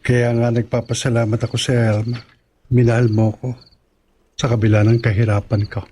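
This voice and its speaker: A man's voice: mid-range voice, level tone, normal speed, dark tone, a little hiss or hum, natural voice. An actor playing a humble, self-deprecating young male suitor in a radio drama, meek and sincere.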